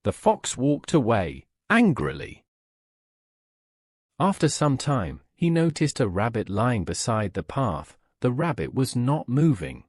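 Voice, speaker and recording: A man's voice: medium pitch, wildly swooping pitch, slow, no echo, clean studio sound. A man narrating a scripted moral story as a storyteller.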